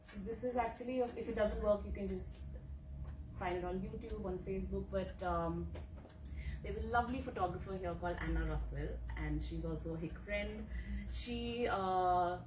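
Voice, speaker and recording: A woman's voice: medium pitch, moderate pace. A woman giving a casual, chatty presentation at a welcome event, with fillers and asides. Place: office meeting room. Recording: echoey room, mic far off, background noise.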